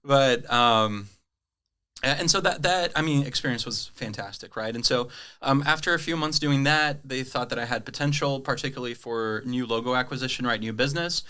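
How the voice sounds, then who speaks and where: A man from Brazil whose first language is Portuguese, a sales rep telling his career story in a casual interview, chatty and quick to laugh. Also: mid-range voice, pushed and bright, clear, resonant tone. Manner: chatty, lots of "right?" tags and laughs. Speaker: guest, cloud sales rep.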